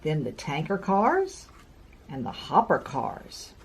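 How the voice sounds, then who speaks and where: A woman in her sixties reading a picture book to young children with deliberate, sing-song emphasis. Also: deep voice, huge pitch swings, slight background noise. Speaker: children's storyteller, host. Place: carpeted room at home.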